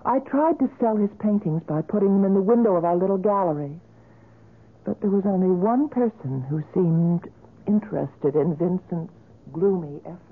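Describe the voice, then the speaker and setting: Kind, earnest friend, old radio sound. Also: female voice, on the low side, moderate pace. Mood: wistful narrating. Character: kind, concerned woman gallery keeper, loyal friend. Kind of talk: 1970s radio drama acting. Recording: thin lo-fi old radio broadcast.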